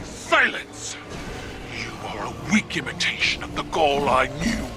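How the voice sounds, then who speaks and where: Slow, low-voiced alien warrior empress. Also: female voice, very animated, music in the background, heavily acted. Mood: stern. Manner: slow, commanding, formal, defiant. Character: proud, stern alien empress and warrior, female. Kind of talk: dramatic video game cutscene acting.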